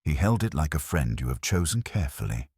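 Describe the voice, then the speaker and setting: Male narrator for detective audiobook, extremely low register, lively intonation, close dry sound. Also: a little fast, husky, no echo. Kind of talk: scripted first-person detective narration.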